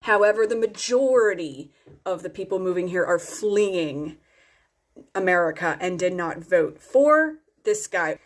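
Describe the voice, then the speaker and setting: A woman's voice: fairly low pitch, expressive, unhurried. A woman giving a casual opinion vlog in an earnest, explanatory way, with frequent ums and restarts. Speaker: political commentator.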